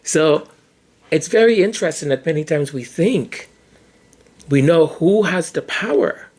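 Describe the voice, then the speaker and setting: A hoarse-voiced podcast host holds forth in a loose, conversational monologue. The delivery wanders, with frequent restarts and doubled words as thoughts take shape. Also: mid-range voice, expressive, unhurried, a little mellow. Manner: chatty, restarts and repeats words mid-thought. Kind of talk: casual explanatory monologue.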